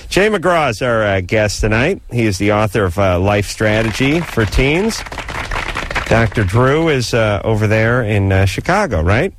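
A man's voice: fairly low pitch, lively intonation, a little slow, noisy background. Blunt, joking male radio co-host. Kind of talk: call-in radio banter.

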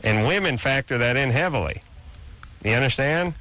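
A man's voice: medium pitch, animated, normal speed, bright, forceful tone. A man hosting a radio call-in show, bantering casually in a blunt, mocking way and often cutting in.

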